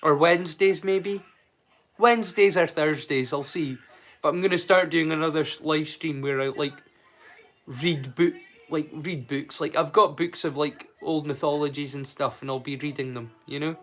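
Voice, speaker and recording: A man's voice: fairly high pitch, steady tone, normal speed, fairly bright tone. A Scottish man chatting casually on a live stream as a storyteller, rambling and swearing freely.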